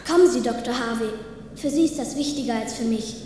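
A woman's voice: a little high, brisk, background noise, lightly acted. A woman playing a friendly, earnest young boy ghost in a German audio drama. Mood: earnest, selfless. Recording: very echoey, distant, music behind.

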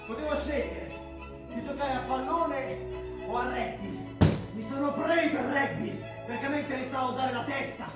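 A man's voice: very high voice, normal speed. A man playing a tough, restless young rugby player from the streets in a projected theatrical monologue. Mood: intense, nostalgic. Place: large theatre stage. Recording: echoey theatre from far off, loud music.

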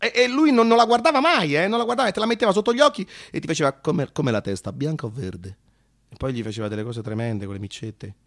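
A man's voice: on the high side, no room sound. Dry-recorded man telling stories theatrically. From Rome, Italy. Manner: animated, halting repetitions, acts out dialogue. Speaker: actor and narrator. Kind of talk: theatrical comic autobiographical radio monologue.

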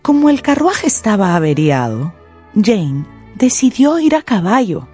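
Woman narrating a radio novel, expressive, mellow voice, lots of intonation, music behind. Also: medium pitch, a little slow, husky. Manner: expressive storytelling. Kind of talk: scripted radio drama narration.

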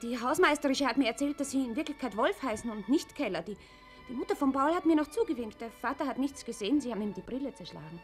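An actress playing an earnest, frightened young daughter in a radio drama, speaking plainly and urgently with an Austrian accent and a husky voice. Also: female voice, high voice, lively intonation, quick pace, soft background music. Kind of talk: naturalistic radio drama acting.

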